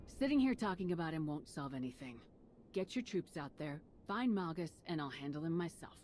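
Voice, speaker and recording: A tough military commander in a game, with a lowish voice and a dry, blunt edge. She is sardonic and direct, over faint noise. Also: expressive, a little fast, a little mellow. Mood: blunt, challenging. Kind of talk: dramatic video game voice acting.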